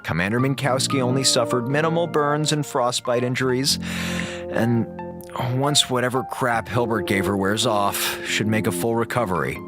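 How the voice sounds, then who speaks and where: An actor playing a wry, exasperated space station communications officer in a radio drama, sardonic and theatrical with dramatic pauses. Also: male voice, mid-range voice, music in the background, lightly acted. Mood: resigned. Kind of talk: radio drama monologue, log to listeners.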